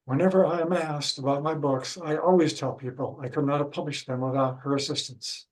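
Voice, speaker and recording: An older man with a Midwestern American accent reads a prepared history talk. His delivery is measured and careful, and his voice sits a little high for a man. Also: steady tone, rough recording. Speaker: local historian giving a talk. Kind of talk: reading a prepared lecture.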